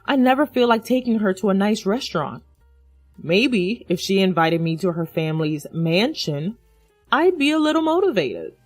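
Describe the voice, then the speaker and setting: A woman's voice: mid-range voice, big pitch swings, normal speed, dry recording, faint music behind. Woman voicing an arrogant, sneering drunk boyfriend. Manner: mocking, scornful. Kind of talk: dramatized audio story dialogue.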